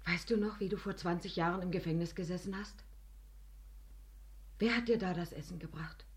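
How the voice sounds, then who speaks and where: An actress playing an aging, spurned companion in a German radio play, imploring and weary, with an emotional, halting, often hushed delivery. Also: female voice, fairly low pitch, natural voice. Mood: wounded, reproachful.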